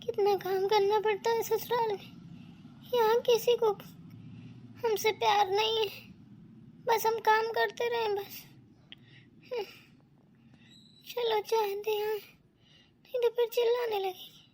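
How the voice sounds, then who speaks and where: A woman voicing a put-upon young daughter-in-law in a family cartoon, plaintive, meek and quietly resentful. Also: very high voice, level tone, unhurried, long pauses, a little hiss or hum. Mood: pained, self-pitying. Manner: plaintive, complaining, dutiful. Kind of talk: Hindi family cartoon melodrama.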